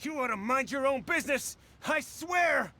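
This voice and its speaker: An actor playing a cowardly, blustering troublemaker in a video game, frantic in manner. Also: male voice, very high-pitched, expressive, normal speed, pushed and bright, slight background noise, full character voice. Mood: indignant. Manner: stammering, frantic. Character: cowardly blustering male troublemaker, grovels when caught. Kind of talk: anime-style video game voice acting.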